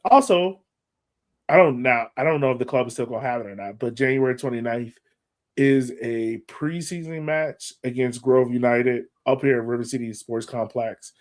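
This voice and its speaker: A man's voice: medium pitch, normal speed. Male American podcast co-host, casual sports talk, mid-range voice.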